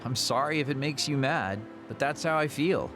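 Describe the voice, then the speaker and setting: A man's voice: mid-range voice, normal speed, natural voice. An actor playing a teasing, world-weary immortal man in a video game cutscene. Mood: weary, hollow. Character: burned-out, playful man two thousand years old, hollow inside. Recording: music behind the voice.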